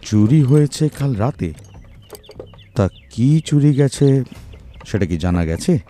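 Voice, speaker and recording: Gentleman detective, acted by a man: shrewd, composed, gently teasing, dark mellow voice, audio drama. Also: mid-range voice, expressive, slow pace, slight background noise. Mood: inquisitive.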